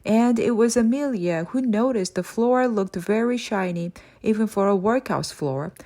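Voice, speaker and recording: A woman reads a children's adventure story aloud as an audiobook narrator. Her voice sits at a middle pitch, and she moves between narration and acted dialogue. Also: normal speed, mellow. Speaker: audiobook reader.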